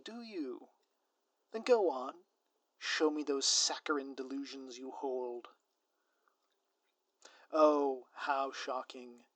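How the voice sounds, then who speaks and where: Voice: mid-range voice, a bit bright, no room sound. Theatrical smug supervillain, acted out, very dry sound. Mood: mocking, scornful. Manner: theatrical, sardonic, grandiloquent. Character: theatrical gloating ex-supervillain spouse, smug, scheming, playfully flustered. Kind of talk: scripted audio roleplay monologue addressed to listener.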